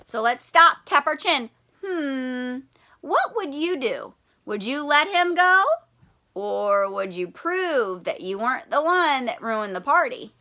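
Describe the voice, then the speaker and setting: High, bright-voiced woman teaching young children. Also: sing-song, slow pace. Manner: addresses children directly with prompting questions. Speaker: primary school teacher. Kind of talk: children's read-aloud and lesson with questions.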